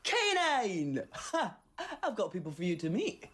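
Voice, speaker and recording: A man playing a quirky, upbeat time-travelling alien hero with a breezy, excitable manner in a sci-fi audio drama. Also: high voice, measured pace, exaggerated voice.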